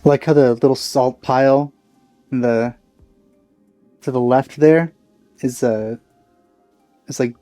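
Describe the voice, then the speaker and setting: A man hosting a let's play, giving casual, low-key, musing commentary on a game. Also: fairly even intonation, slow, pauses often, mellow, no room sound, faint music behind.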